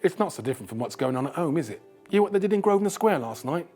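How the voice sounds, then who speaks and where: A man's voice: a little high, very animated, rapid-fire, warm tone, soft background music, voice pushed up. A man reading a novel and voicing a chatty, placating younger British man in a conversational, coaxing manner.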